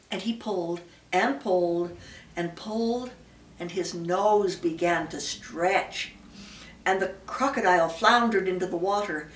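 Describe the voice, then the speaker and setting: An elderly woman, a great-grandmother, reading a children's story aloud and adding small asides to the listener. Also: mid-range voice. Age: older, great-grandmother. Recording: roomy, echoey, slightly distant mic.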